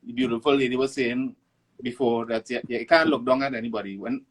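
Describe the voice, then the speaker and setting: Trini guy, venezuelan born, talks slow and halting, lots of "you know". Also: medium pitch, poor audio. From born in Venezuela, raised in Trinidad. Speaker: guest artist. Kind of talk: casual interview chat. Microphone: online live stream.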